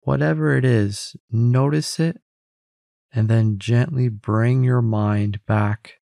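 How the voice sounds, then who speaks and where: A man leading a guided meditation in a calm, gentle, unhurried way, giving simple instructions with pauses. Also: mid-range voice, creaky, no room sound. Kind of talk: guided meditation, scripted narration.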